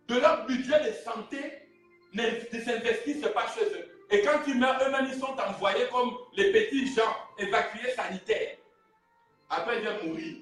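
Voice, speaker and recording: High, bright-voiced Gabonese preacher. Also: male voice. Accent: Gabonese French. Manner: fiery preaching, rising to shouts, urging the congregation. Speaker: pastor. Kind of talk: sermon. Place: church hall with a congregation. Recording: very echoey hall recorded from a distance.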